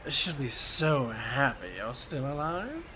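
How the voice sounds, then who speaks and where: A man's voice: medium pitch, sing-song, slow, noisy background. An actor playing a gleefully cruel madman sorcerer in an audio drama, theatrical, mock-polite and taunting. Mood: gleeful menace.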